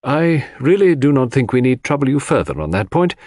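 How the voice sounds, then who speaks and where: A man voicing a brisk, formal coroner who questions witnesses with official courtesy in an audiobook. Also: medium pitch, very animated, darkish tone, no echo, pitched above the performer's own voice. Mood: probing, deliberate. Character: brisk, formal, courteous male coroner presiding over inquest.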